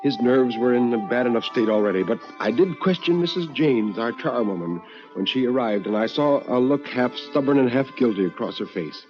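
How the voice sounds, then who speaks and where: A man's voice: mid-range voice, animated, normal speed, muffled recording, faint music behind. A man narrating a first-person ghost story as a dramatic storyteller, theatrical and full of suspense.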